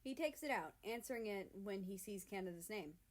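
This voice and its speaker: A woman's voice: medium pitch, expressive, brisk. A woman reading a fan-written story aloud as its narrator.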